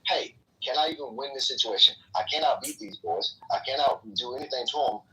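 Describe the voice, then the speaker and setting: A man giving blunt, opinionated casual commentary in a forceful way, swearing as he reasons out loud. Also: mid-range voice, animated, brisk. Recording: slightly distant microphone.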